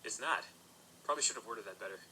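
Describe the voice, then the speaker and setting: Man acting as a young male pony knight apprentice, patient, explanatory, quick delivery. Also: on the low side, a crowd in the background, close to an everyday voice, low-quality recording. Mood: calm. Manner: patient, explanatory, gently self-correcting. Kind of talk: fan audio drama acting. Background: music.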